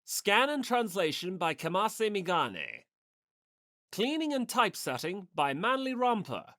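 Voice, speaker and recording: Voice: medium pitch, sing-song, unhurried, a bit bright, no echo, studio quality. A narrator reading a scripted story and credits for an audiobook. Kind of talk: scripted manga and fan fiction reading.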